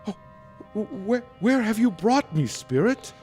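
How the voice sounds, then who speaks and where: An actor playing an anguished, fearful old miser who pleads haltingly in an old-time radio drama. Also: male voice, sits high, sing-song, a little mellow, soft background music. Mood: awed, nervous.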